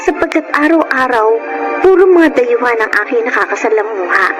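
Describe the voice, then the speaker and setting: A woman's voice: fairly high pitch, lively intonation, brisk, lightly acted. A woman playing a polite, hardworking thirteen-year-old girl in a Tagalog radio drama, earnest and devoted. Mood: plaintive, earnest. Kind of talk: Tagalog radio drama acting with first-person narration. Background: music.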